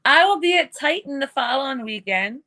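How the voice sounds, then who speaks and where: A woman's voice: high-pitched, animated, moderate pace, sharp tone. A young woman with a New York accent, a wrestler chatting as a podcast guest, brash and playful, laughing often. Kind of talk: casual podcast interview.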